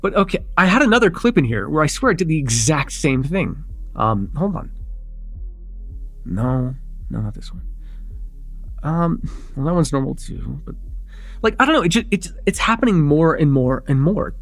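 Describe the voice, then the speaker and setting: A young man playing an excitable vampire in an immersive roleplay, casual and halting, insistent and teasing as he repeats himself. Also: a little high, faint music behind. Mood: eager, flustered. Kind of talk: immersive ASMR roleplay acting.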